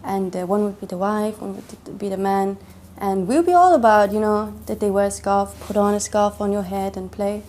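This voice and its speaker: Young woman, interviewee, hesitant and full of fillers, medium pitch, faint hiss behind her. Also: normal speed, a little mellow. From Denmark. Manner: hesitant, many fillers and restarts. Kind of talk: personal testimony interview.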